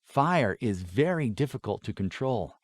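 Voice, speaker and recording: Man voicing a stern, measured warrior father. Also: mid-range voice, very animated, no room sound, clean studio sound. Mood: stern instruction. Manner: measured, formal, lecturing. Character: stern, battle-seasoned father and swordsman, gravely instructive.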